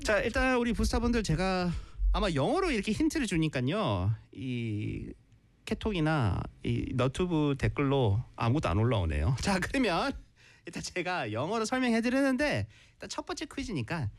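A woman hosting a chatty quiz segment, switching between Korean and slow, deliberate English explanations. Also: lowish voice, very animated, dry recording. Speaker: radio show host. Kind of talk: lively bilingual quiz-show chat in Korean and English.

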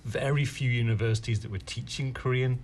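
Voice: medium pitch, fairly even intonation, fairly quick, a little mellow, a little hiss or hum. British-raised teacher telling anecdotes. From United Kingdom. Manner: chatty anecdotes, acted-out quotes. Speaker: guest, Korean teacher. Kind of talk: panel talk.

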